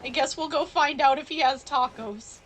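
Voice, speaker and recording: A woman's voice: sits high, normal speed, a bit bright, slight background noise. A woman doing casual, jokey live commentary as she streams a game, with a playful manner.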